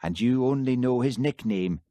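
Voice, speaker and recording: A man reading aloud as a patient, persistent police constable who stays calm. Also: medium pitch, moderate pace, no room sound, voice pushed up, natural voice.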